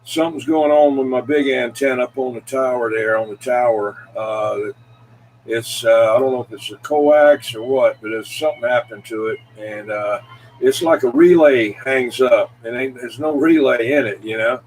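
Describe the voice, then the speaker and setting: Folksy guy running a cb radio night, medium pitch, bit of background noise, uses radio slang. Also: normal speed, darkish tone, low-quality recording. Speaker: CB radio show host. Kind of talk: casual radio chat.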